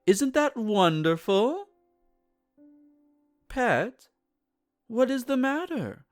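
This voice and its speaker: Guy doing a possessive posh vampire lord for roleplay audio, slow n formal, swoopy pitch, soft music behind. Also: very animated, heavily acted. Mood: smug. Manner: formal, measured, soothing and condescending. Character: possessive aristocratic male vampire, silky, doting yet menacing. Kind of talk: roleplay audio drama, intimate monologue to the listener.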